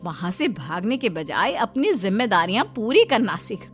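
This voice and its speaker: Play a strict mother of a grown daughter woman actor, firm and admonishing, with expressive pitch, in a cartoon family drama. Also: moderate pace, soft background music, close to an everyday voice. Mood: stern. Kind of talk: Hindi cartoon story dialogue.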